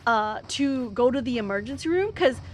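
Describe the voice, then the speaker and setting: A woman's voice: fairly high pitch, lively intonation, moderate pace. A young American woman streamer telling a story in a casual, rambling way, with many fillers and restarts. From United States. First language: English.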